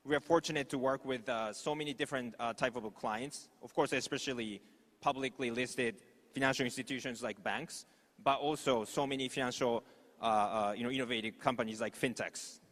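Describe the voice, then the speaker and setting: A man from Japan with a Japanese accent, a native Japanese speaker, giving an informal, halting conference presentation. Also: medium pitch, steady tone, fairly bright tone. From Osaka, Japan. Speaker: startup executive, conference speaker. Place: large conference hall stage. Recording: echoey hall with long reverb.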